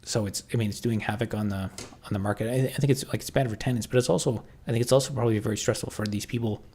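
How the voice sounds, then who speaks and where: Canadian guy on a livestream, low and kinda husky, talks in stop-start bits while he answers chat. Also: warm tone. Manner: halting, thinking aloud, frequent restarts. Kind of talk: casual livestream chat, answering viewer comments.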